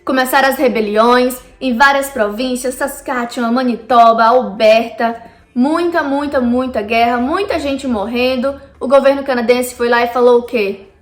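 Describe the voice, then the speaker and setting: A woman's voice: fairly high pitch, fairly even intonation, normal speed. Chatty Brazilian woman, echoey room. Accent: Brazilian Portuguese. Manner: chatty, addresses viewers directly. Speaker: vlogger. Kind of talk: casual explainer vlog. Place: lives in Canada.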